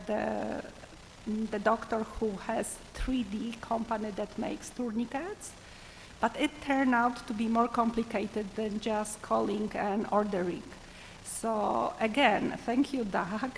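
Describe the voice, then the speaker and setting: Slow, halting woman in a hall. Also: on the high side, creaky. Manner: hesitant, halting with restarts. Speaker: doctor and panel speaker. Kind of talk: community panel talk. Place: public meeting hall. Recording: reverberant hall with faint background noise.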